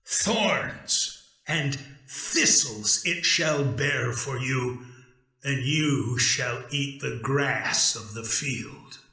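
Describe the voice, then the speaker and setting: A man's voice: medium pitch, extremely slow, sharp tone, reverberant, exaggerated voice. A man voicing a stern, commanding God in a dramatic scripture reading, grave and emphatic as he judges. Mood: solemn judgment. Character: stern, commanding male God, solemnly judging.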